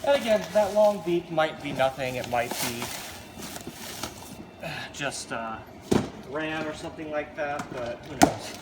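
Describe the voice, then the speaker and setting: A man's voice: a little high, unhurried, pauses often. A man giving casual, unscripted hands-on commentary, thinking aloud informally as he works. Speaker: hobbyist video host. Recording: loud ambient noise around voice.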